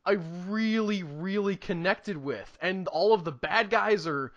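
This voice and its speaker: A man's voice: sits high, lively intonation, measured pace, fairly bright tone, highs cut off. A man co-hosting a film review podcast, chatting casually in an enthusiastic, emphatic way and rambling as thoughts come. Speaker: podcast co-host reviewing films. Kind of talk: casual movie review chat.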